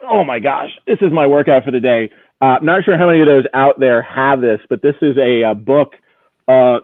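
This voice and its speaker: A man's voice: medium pitch, no room sound. A man talking casually and explaining things in detail as an interview guest, with enthusiasm for his subject. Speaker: auction house executive guest. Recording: tinny, narrow phone-like sound.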